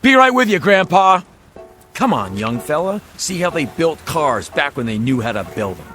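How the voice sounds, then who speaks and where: A man's voice: fairly high pitch, sing-song, normal speed, sharp tone, soft background music. An actor playing a slippery, folksy used-car salesman with an American accent, pushing glib, scornful patter in a radio drama. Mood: pushy coaxing. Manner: glib, theatrical hard-sell patter.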